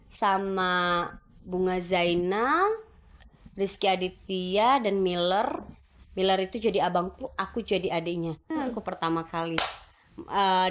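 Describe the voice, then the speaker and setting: Chatty woman with a Medan accent. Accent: Medan Indonesian. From Medan, Indonesia. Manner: chatty, animated, jumps pitch when excited. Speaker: interview guest. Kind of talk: casual chat.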